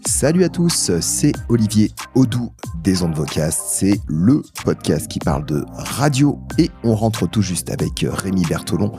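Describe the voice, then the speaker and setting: Deep-voiced, animated male podcast host. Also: unhurried, hardly any pauses, faint music behind. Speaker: podcast host presenting news. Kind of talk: scripted podcast introduction and news narration.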